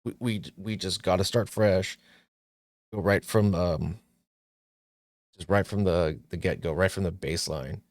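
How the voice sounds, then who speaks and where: A man's voice: deep voice, measured pace, pauses often, no echo, clean studio sound. A man hosting his own movie talk show, chatting casually with jokes and plenty of restarts. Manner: joking, rambling, frequent restarts and fillers.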